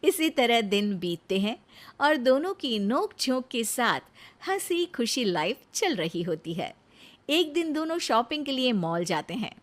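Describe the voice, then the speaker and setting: A woman's voice: fairly high pitch, big pitch swings, a little slow. Woman narrating a cartoon story.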